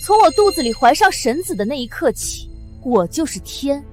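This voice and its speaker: Arrogant noblewoman villain; high, lively, scornful delivery over drama score. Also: normal speed, soft background music. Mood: gloating. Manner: sneering, haughty, scornful. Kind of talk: costume drama acting.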